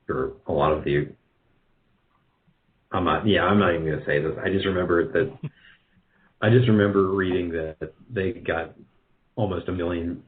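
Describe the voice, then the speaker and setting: A man speaking casually as an interview guest, halting and rambling as he corrects himself. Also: very deep voice. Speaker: guest, radio drama producer. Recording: dull lo-fi call audio.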